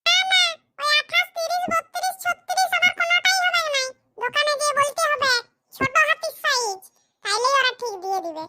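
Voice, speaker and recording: Performer doing a squeaky cartoon village wife. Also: a little fast, heavy background noise. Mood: bossy, matter-of-fact. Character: newlywed village wife, chatty and demanding. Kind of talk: comedy cartoon dialogue.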